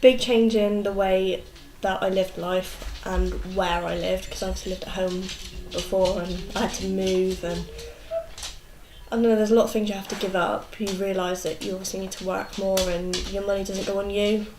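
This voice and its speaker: Flat-toned young woman in an echoey room. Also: mid-range voice, moderate pace. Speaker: young mother being interviewed. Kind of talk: candid documentary interview. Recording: echoey room with faint background noise.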